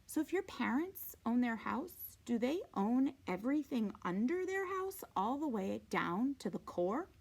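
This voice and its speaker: Lady doing an expressive storybook read aloud, kinda high voice, goes slow and dramatic with the phrasing. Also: gravelly, breathy edge. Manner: deliberate, dramatic phrasing, sometimes dropping to a hush.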